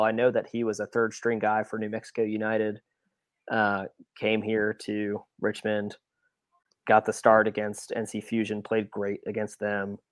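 A man chatting casually about sports as a podcast panelist, giving measured opinions that he often qualifies. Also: fairly low pitch, dry recording.

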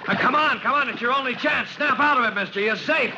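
High-pitched plucky rescue fireman. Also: fast, muffled recording. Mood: urgent, reassuring. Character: plucky, wisecracking young male rescue fireman. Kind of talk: 1940s radio acting. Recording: noisy old radio recording.

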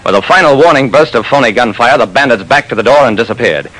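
A man tells a hard-boiled detective story in classic radio style. His delivery is wry and dramatic, and his mid-pitched voice swings widely through the lines. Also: animated, fast talker, noisy background. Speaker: narrator and lead detective in a radio mystery.

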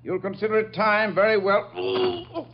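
High-voiced, courteous radio doctor. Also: male voice, very animated, a little slow, vocal fry, heavily acted. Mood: gracious. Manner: polite, formal. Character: courteous, obliging male doctor welcoming guests. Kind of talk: 1950 radio drama acting. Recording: muffled, very noisy 1950 radio recording.

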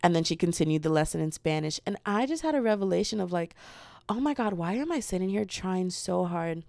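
A woman's voice: fairly low pitch, lively intonation, fairly quick, creaky, darkish tone, no echo. A young American woman hosting a solo podcast, rambling and joking at herself with giggles. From United States. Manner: rambling, self-deprecating, giggly. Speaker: podcast host.

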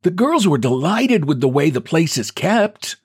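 A man's voice: fairly high pitch, very animated, measured pace, husky, pitched above the performer's own voice, exaggerated voice. A man voicing a frail elderly man who talks in a thin, piping, quavering way. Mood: fond.

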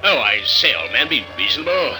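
An actor playing an excitable, flighty upper-class gentleman, stammering and fussy, in a 1950s radio drama. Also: mid-range voice, a little fast, close to an everyday voice, poor audio. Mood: pleading, dreamy. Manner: stammering, fussy, old-chap exclamations. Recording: old radio recording with music.

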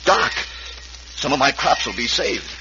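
An actor playing a dazed, remorseful farmer in an old radio western, halting and repeating his words. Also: mid-range voice, animated, close to an everyday voice. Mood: awed. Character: Frank, dazed, bewildered farmer, remorseful brother. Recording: hissy, dull vintage radio recording.

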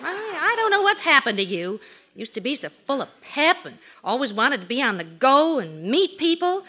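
Radio sitcom wife, American, indignant and peppy, high and very animated. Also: female voice, moderate pace, exaggerated voice. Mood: exasperated. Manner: exasperated, indignant. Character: exasperated middle-class wife in her late thirties, peppy and sharp.

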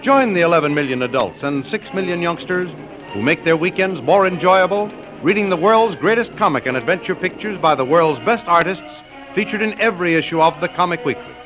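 Male radio announcer, fairly high voice, declamatory, formal, dramatic serial narration, dull vintage recording. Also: animated, fairly quick, music in the background.

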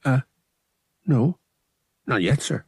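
A man's voice: mid-range voice, animated, slow, a little mellow, slightly rough, dry recording, studio quality, voice pushed up, exaggerated voice. A man voicing a cocky, wry young male pilot in an audiobook, with casual, clipped delivery. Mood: eager, deferential. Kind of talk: audiobook character voice.

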